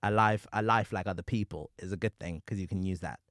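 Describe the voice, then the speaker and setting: A young man from Zimbabwe hosting a podcast in a small studio, animated and quick to laugh, talking into a boom mic. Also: dry recording. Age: young adult. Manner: animated, laughs often, gestures while talking. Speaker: podcast host. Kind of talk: casual podcast chat. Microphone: boom mic on arm, headphones.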